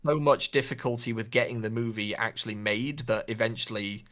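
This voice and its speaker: A man with a mid-pitched voice co-hosts a casual podcast chat. He talks in a hesitant, hedging way as he works through his opinions. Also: fairly quick, fairly bright tone. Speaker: podcast co-host. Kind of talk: casual podcast chat about films and games.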